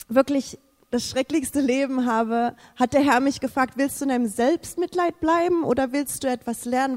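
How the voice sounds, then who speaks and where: A woman's voice: sits high, a little mellow, in a large hall. A woman, not a native German speaker, giving an emotional faith testimony, fervent and repetitive, sometimes searching for words. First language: English.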